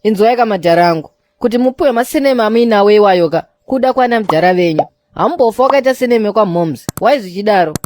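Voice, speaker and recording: An actor voicing a cocky young man in an animated comedy, smug and chiding as they banter in slang. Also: mid-range voice, fast talker, hardly any pauses, a little hiss or hum, lightly acted.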